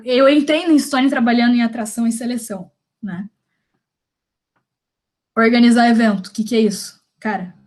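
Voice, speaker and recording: A woman's voice: fairly high pitch, a little mellow. A young woman with a Brazilian Portuguese accent leads a career workshop in a casual, slangy way, often restarting her sentences. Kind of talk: informal workshop talk.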